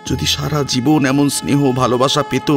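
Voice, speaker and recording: A man's voice: medium pitch, flat delivery, moderate pace, creaky, music in the background. A man narrating a dramatic audio story in an emotive, theatrical way.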